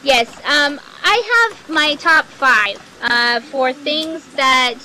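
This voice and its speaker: A woman's voice: sits high, animated, very slow, bright, forceful tone, slight background noise, poor audio. A woman giving an informal instructional talk as a podcaster, explaining things in a chatty, colloquial way. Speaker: fiction podcaster giving a workshop.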